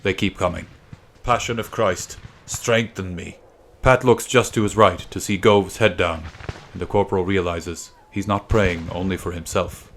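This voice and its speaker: Male narrator, low pitch, dramatic storytelling, measured and suspenseful, faint background noise. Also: steady tone. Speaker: narrator of a literary podcast.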